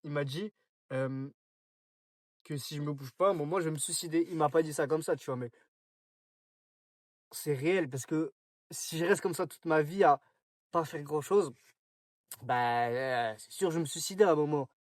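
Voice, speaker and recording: A man's voice: a little high, moderate pace, pauses often, dry recording, studio quality. Slangy young Frenchman rambling to camera. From France. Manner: slangy, rambling, many fillers and restarts. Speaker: vlogger. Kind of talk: casual spoken monologue.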